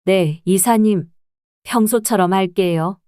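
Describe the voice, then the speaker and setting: A woman plays a hesitant housekeeper in her sixties in a storytelling audio drama. Her voice is smooth and mellow, soft and deferential, with no room sound. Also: mid-range voice, takes it slowly, no echo, studio quality, natural voice.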